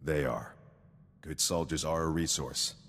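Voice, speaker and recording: An actor playing a stern, dutiful sergeant in a video game, speaking formally and precisely, coldly devoted to victory. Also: male voice, extremely low pitch, steady tone, a little slow, fairly bright tone, big-room sound, heavily acted. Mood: stoic. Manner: formal, precise, recites statistics. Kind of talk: scripted video game acting.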